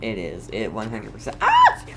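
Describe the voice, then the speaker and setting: A man giving casual, excitable and reactive commentary as he plays a video game. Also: fairly low pitch, moderate pace, gravelly, heavy background noise. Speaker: game streamer.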